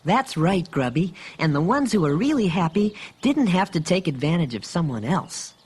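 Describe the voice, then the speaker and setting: A man voicing a kindly young toy bear who tells children's stories in a gentle, patient manner. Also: high-pitched, very animated, normal speed, slightly rough, heavily acted. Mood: kindly, reflective. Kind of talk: children's storytelling.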